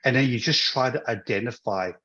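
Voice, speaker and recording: A man guest chatting in an interview, often stopping and restarting his sentences. His voice sits at an ordinary pitch. The recording is a bit dull and lo-fi. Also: a little fast, bright, forceful tone. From Hong Kong, raised in Australia. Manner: hesitant, many restarts and fillers. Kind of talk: casual interview conversation.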